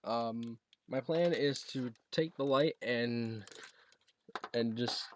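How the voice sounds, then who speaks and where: A man talking casually and haltingly, thinking aloud as he demonstrates a gadget he is setting up. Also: mid-range voice. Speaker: product reviewer demonstrating a gadget. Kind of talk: casual unscripted unboxing and setup demo.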